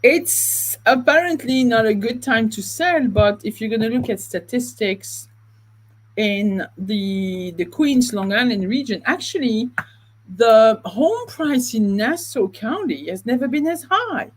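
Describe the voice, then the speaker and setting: Chatty, laughing woman with a slightly high voice. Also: lively intonation, measured pace, rough recording. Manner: chatty, laughs easily, trails off and restarts. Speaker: real estate podcast co-host. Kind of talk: casual podcast chat.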